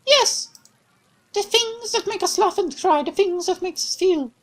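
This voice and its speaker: A woman's voice: very high-pitched, measured pace, voice pushed up. A woman voicing a bubbly, cheerful young woman companion in a visual novel, with a raised, playful, exclamatory voice.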